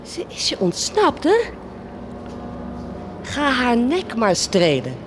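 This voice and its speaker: A woman's voice: a little high, wildly swooping pitch, takes it slowly, background noise, exaggerated voice. An actress playing a proud, compassionate woman of the poor in a Dutch radio play, solemn and impassioned. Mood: cold, grave.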